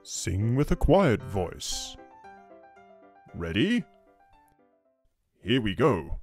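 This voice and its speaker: A man's voice: fairly low pitch, big pitch swings, extremely slow, darkish tone, soft background music. A man presenting a children's show from a script.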